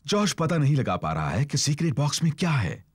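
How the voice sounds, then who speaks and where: Very animated male children's cartoon narrator. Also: fairly high pitch, fairly quick, breathy edge, dry recording. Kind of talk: scripted children's storytelling narration.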